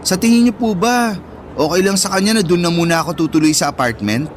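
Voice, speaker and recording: A man's voice: high voice, fast, faint music behind, lightly acted. A man playing a polite, affectionate young Filipino son in a radio drama, with a Filipino accent and a coaxing manner. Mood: sweet, coaxing. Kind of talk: Tagalog radio drama acting.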